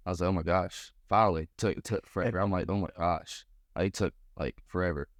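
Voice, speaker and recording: A man's voice: sits low, fairly even intonation, brisk, no room sound, clean studio sound. An American man of about twenty giving casual, slangy interview answers as a college baseball player. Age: around 20. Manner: informal, slangy.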